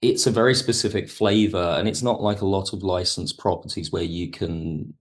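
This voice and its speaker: A man's voice: low-pitched, fairly even intonation, normal speed. A man speaking as an interview guest, reflective and analytical, carefully qualifying his points as he explains. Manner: thoughtful, analytical, hedging. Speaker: podcast guest, audio drama writer.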